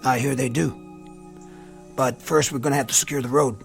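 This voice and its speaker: A man's voice: medium pitch, fairly even intonation, a little fast, gravelly, faint music behind, close to an everyday voice. An actor playing an army lieutenant in a radio drama, firm, clipped and commanding with his men. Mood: steady, reassuring.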